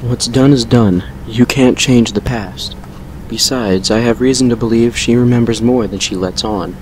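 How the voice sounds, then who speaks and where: A man playing a brooding vampire who recounts a painful past with measured regret in an audio drama. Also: mid-range voice, darkish tone, a little hiss or hum, natural voice, poor audio. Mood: resigned. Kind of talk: fan fiction audio drama monologue.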